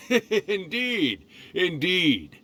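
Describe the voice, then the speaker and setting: A man's voice: high voice, very animated, drawn-out words, pushed and bright, dry recording, heavily acted. An actor playing a mischievous, boastful ancient male fox spirit storyteller in a video game, with a theatrical, playful manner. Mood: amused.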